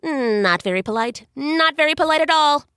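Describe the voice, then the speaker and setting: A woman's voice: mid-range voice, a little slow, fairly bright tone, smooth, no echo, studio quality, lightly acted. A woman voicing a speedy, chatty male messenger god whose words run together in a jumble. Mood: indignant.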